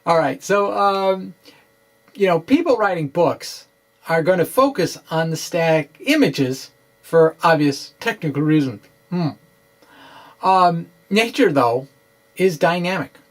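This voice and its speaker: A middle-aged man giving a dramatic reading of a science explainer, with deliberate, halting, theatrical phrasing, close to the microphone in a small room. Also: fairly high pitch, expressive. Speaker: science blogger presenting. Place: small indoor room with bookshelves. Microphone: microphone close in front on a stand.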